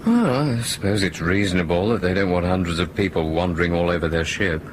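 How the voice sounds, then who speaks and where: A man acting in a radio drama as a calm, reasonable airline crewman with a measured, mild manner. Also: low-pitched, lightly acted. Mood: mildly reasoning. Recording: clear background noise.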